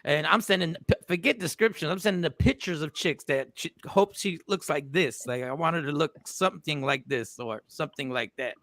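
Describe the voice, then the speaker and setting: A man hosting a casual group chat, animated and joking. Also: a little high, fairly quick, few pauses, no room sound. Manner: animated, joking, loud laughs. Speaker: show host.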